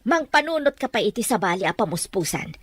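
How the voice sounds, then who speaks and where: A woman's voice: mid-range voice, sing-song, a little fast, a bit bright, husky, no room sound. A woman acting a teasing mother who gives advice, in an audio drama. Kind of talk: Ilocano radio drama acting.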